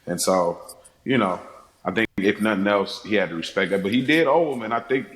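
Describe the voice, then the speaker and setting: A man with a fairly low voice, heard in a very roomy, echoing space. He is a podcast guest discussing film in an informal, rambling way. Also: brisk, a bit bright.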